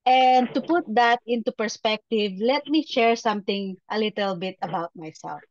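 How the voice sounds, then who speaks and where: A woman with a Filipino accent speaking as a performance coach in a motivational webinar, with deliberate, emphatic phrasing and repetitions over a video call. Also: a little high, no room sound. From Philippines. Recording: dull, lo-fi webinar audio.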